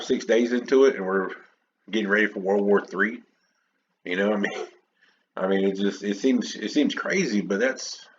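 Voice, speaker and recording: A man's voice: fairly low pitch, lo-fi sound, a little hiss or hum. A man hosting his own podcast alone, chatting unscripted and opinionated, rambling with many restarts and fillers. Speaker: podcast host. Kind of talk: unscripted solo podcast chat.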